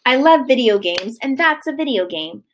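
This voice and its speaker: High, very lively woman reading to children. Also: moderate pace, slight background noise. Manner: expressive, sing-song storytelling delivery. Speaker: kindergarten teacher. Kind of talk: read-aloud of a children's book to young kids. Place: living room at home, indoors.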